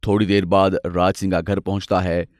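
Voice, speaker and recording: A man's voice: sits low, flat delivery, normal speed, a little mellow, no room sound, clean studio sound. A man narrating scripted moral tales as a storyteller.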